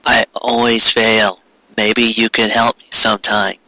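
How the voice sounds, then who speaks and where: A deadpan new schoolgirl voiced by a man in a story reading. The voice sits low and flat, unhurried and unimpressed, in a dry close recording. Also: no room sound, rough recording.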